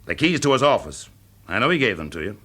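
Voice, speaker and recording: An actor with an English accent playing a shrewd, genial, commanding fire investigator in a classic radio drama, polite but probing. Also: male voice, mid-range voice, sing-song, fast talker, a bit bright. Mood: coolly confident. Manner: polite, probing, confidently in charge.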